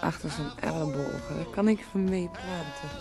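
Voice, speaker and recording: An actress playing a tipsy, teasing, dreamy wife in a Dutch radio play, speaking haltingly and repeating her words. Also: deep voice. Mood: teasing, languid. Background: music playing.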